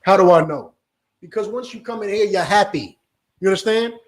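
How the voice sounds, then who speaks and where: Man preaching hard to a class, voice kinda high, lots of room echo, keeps asking "you understand". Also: fairly quick. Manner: forceful, repeats "you understand?". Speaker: religious teacher. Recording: echoey room.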